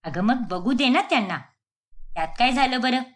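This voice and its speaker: A woman voicing a simple, unembarrassed middle-class mother in an animated story, talking in a homely, questioning way. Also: on the high side, normal speed, lightly acted. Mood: chatty, cheerful.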